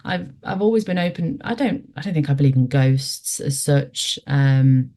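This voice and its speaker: Woman in her twenties, guest, low mellow voice, reflective and halting. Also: lively intonation. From West Yorkshire, England. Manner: reflective, hesitant, lots of fillers. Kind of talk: conversational storytelling interview.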